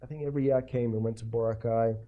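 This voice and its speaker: A man's voice: mid-range voice, fairly even intonation, rapid-fire, narrow, tinny audio. A man in his forties from Croatia, a guest telling his story in a casual interview, with many fillers and repeated starts. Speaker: festival founder, guest.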